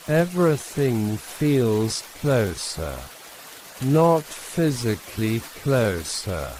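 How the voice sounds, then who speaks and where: Calm guy doing a sleep story, super slow w long pauses, soothing, faint rain and hiss in the back. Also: mid-range voice, rough recording. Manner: unhurried, hushed, soothing, with long pauses between short phrases. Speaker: bedtime story narrator. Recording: steady rain and hiss behind voice.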